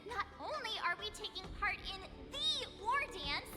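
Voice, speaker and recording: A woman's voice: very high voice, big pitch swings, moderate pace. A woman playing an earnest teenage girl adventurer in an anime game, with an open, girlish delivery. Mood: anxious. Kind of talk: scripted anime game voice acting. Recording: long reverb, game music behind.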